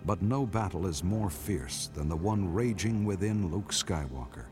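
Deep, grave space saga narrator. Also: male voice, lively intonation, a little slow, over music. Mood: grave, portentous. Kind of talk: dramatic scripted radio narration.

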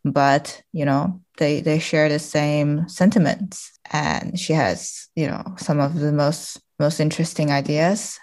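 A woman speaking as a podcast guest, chatting casually in hesitant, halting phrases. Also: low-pitched, fairly even intonation, a little mellow. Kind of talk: casual chat.

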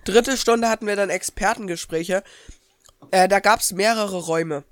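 A man's voice: high-pitched, moderate pace, smooth, no echo, clean studio sound. A teenage boy chatting casually as co-host, a bit stuffy-nosed from a cold. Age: teens. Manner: chatty, eats while talking. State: slightly congested from a cold. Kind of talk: casual chat.

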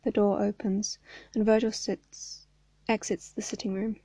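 A young woman, hoarse from hay fever, reading a story aloud and chatting casually between chapters. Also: medium pitch, little pitch movement, a little slow, mellow, no room sound. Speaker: fan fiction reader.

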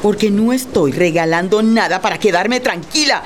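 A woman's voice: mid-range voice, normal speed, close to an everyday voice. A woman playing a compassionate, stubborn wife in a radio play, speaking in an earnest, defiant and impassioned way. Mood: anguished, indignant. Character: Ruth, compassionate, stubborn wife defending displaced people. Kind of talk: radio theatre acting. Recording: faint noise, rain behind.

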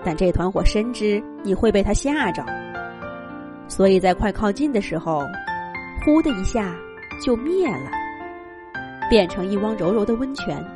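A woman telling a children's story with gentle, expressive narration. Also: medium pitch, moderate pace, long pauses, over music.

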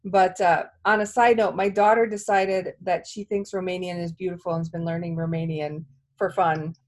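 A woman's voice: fairly low pitch, little pitch movement, normal speed. A woman hosting a podcast interview, chatty and a bit rambling as she sets up her questions. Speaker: podcast host. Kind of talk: casual interview talk.

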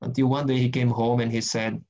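A man's voice: mid-range voice, flat delivery, fairly quick, darkish tone, muffled recording, a little hiss or hum. A man, leading an online Bible study, speaking earnestly with many restarts, then praying. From Brazil. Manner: earnest, rambling, frequent restarts and fillers. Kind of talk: casual teaching and prayer in an online group session.

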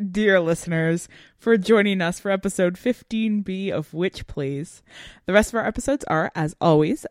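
A woman podcast host with a low-pitched voice talks to her audience as a co-host. The sound is dry, with very little room around her. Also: dry recording. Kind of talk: podcast discussion and sign-off.

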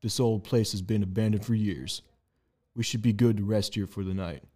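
A man's voice: lowish voice, steady tone, moderate pace, warm tone, close to an everyday voice. A man playing a stern, practical frontier survivor with a curt, authoritative manner in an audio drama. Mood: stern, guarded. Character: stern, practical Wild West man, wary protector. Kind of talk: amateur audio drama acting.

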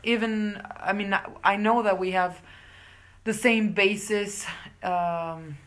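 A woman's voice: mid-range voice, steady tone, slow, a little hiss or hum. A young woman from Mexico whose first language is Spanish, with a Mexican accent. She co-hosts a casual podcast, stops often to search for words and laughs easily. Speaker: podcast co-host. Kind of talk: casual chat.

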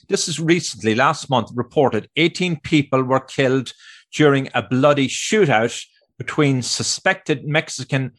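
A man's voice: medium pitch, a bit bright. Man hosting an interview, chatty asides. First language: Irish Gaelic. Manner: conversational, self-deprecating asides. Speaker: interview host. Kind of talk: interview questions and reading headlines.

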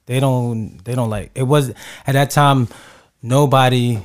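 A man from Barbados co-hosting a podcast, talking casually and haltingly, often repeating words as he restarts his sentences. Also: mid-range voice, steady tone. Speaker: podcast co-host. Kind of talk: casual chat.

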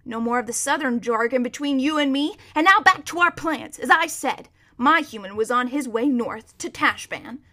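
A woman's voice: high-pitched, expressive, normal speed, hardly any pauses, pushed and bright, full character voice. A woman reading a storybook as a proud, bossy but kindly male war horse, with an emphatic, lecturing manner. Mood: assured. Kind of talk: children's storybook character reading.

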